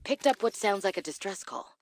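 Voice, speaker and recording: A woman's voice: medium pitch, lively intonation, brisk, lightly acted. A woman playing a curious, dryly wry scientist in a survival video game, musing to herself in scripted monologue. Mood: curious, pondering.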